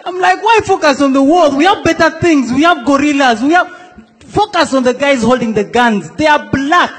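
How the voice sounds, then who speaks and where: A man's voice: extremely high pitch, moderate pace, fairly bright tone, long reverb tail. A young man from South Sudan performing a stand-up comedy set, playfully acting out the people in his stories. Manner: playful, acts out quoted characters. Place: comedy stage in a large hall before a laughing audience.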